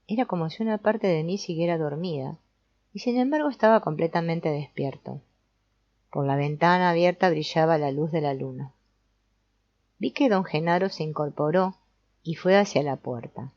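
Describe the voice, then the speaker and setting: A woman reading an audiobook as narrator in a measured, explanatory way. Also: deep voice, a little mellow, dry recording. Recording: dull, lo-fi sound.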